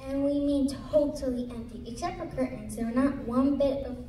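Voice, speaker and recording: A woman's voice: high-pitched, fairly even intonation, moderate pace, dark tone. A female student narrator reading a scripted story aloud in a reader's theater performance. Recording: echoey room, distant mic, background noise.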